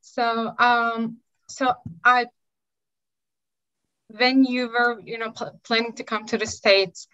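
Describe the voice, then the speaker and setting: A woman interviewing a guest, warm and encouraging, with loose casual phrasing. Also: fairly high pitch, flat delivery, slow pace, long pauses. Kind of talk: friendly interview. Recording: dull lo-fi sound.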